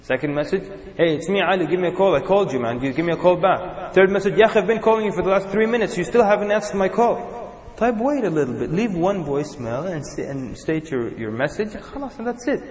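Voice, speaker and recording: A man's voice: sits high, quick pace. A man from Sudan, preaching a sermon to a live audience and acting out little examples as he goes. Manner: animated, acts out examples in little voices. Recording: echoey hall with long reverb.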